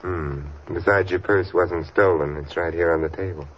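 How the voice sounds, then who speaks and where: An actor playing an urbane, exasperated gentleman sleuth in an old radio comedy mystery, dry, suave and easily flustered. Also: sits low, steady tone, moderate pace, natural voice. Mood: concerned, wry. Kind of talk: 1940s-50s radio comedy-mystery acting. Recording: muffled, noisy old radio recording.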